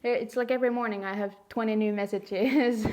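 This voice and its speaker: Woman, Finnish accent, higher-pitched voice, casual vlog chat, candid, self-deprecating storytelling. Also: steady tone, normal speed, darkish tone. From Finland. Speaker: host of a puzzling video channel. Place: quiet room at home.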